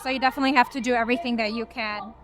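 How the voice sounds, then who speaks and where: A woman interviewing a rally attendee with quick, direct questions. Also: on the high side, steady tone, fairly bright tone.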